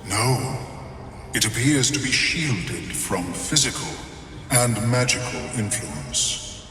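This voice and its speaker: Mind flayer for a game: unhurried, polite, clinical intellectual monster, cavernous echo, faint music behind. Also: male voice, on the low side, very animated, bright, forceful tone, exaggerated voice. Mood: clinical, detached. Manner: formal, measured, clinical. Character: calm scholarly male mind flayer, detached, politely clinical. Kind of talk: video game dialogue acting. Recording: echoing hall with long reverb, faint music.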